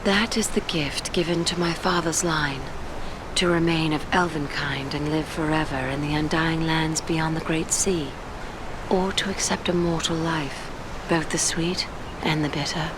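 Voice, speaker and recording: Game casting: gentle elven lady, deep for a woman, formal and solemn, noisy background. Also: fairly even intonation. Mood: sorrowful resolve. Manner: measured, formal, archaic phrasing. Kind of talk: dramatic fantasy video game acting.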